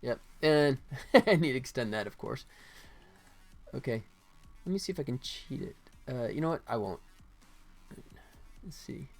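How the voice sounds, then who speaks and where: Male game-dev streamer, mid-pitched, off-the-cuff and self-muttering, music underneath. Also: lively intonation, unhurried, gravelly, pauses often. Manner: muttering to himself, then chatting to viewers. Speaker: game developer live streamer. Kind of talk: casual live stream chat while working.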